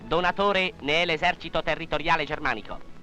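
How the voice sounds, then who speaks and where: A man's voice: a little high, brisk, pushed and bright, faint music behind. A man reads scripted 1940s wartime newsreel narration in a formal, declamatory manner, on an old film soundtrack.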